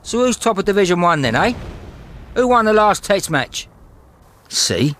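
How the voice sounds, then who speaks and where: Rough young London working-class soldier. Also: male voice, high-pitched, very animated, normal speed, fairly bright tone. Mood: angry. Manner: blunt, slangy, combative when provoked. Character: tough, touchy working-class London soldier, football-mad. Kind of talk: audio drama acting.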